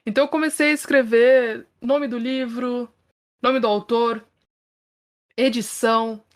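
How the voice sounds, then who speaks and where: A woman's voice: a little high, steady tone, measured pace. A woman, co-hosting a podcast in a chatty manner, laughing often and checking in with listeners. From São Paulo, Brazil. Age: 30s. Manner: chatty, laughs often, frequent tag questions. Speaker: podcast co-host. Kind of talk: casual podcast chat.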